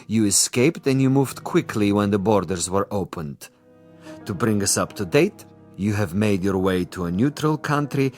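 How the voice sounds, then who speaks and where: A suave commissar who kills as an art. His low voice swoops expressively through every line, mock-courteous and quietly menacing. He savors his words theatrically, with music underneath the drama. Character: suave, cultured, menacing male commissar, an assassin. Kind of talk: dramatic TV-style dialogue acting.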